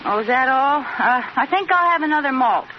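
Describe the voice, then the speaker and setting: A wheedling melodramatic sitcom wife, high voice, muffled old radio, really noisy. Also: female voice, animated, fairly quick. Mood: sheepish stalling. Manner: theatrical, pleading. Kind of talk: 1940s-50s radio situation comedy acting.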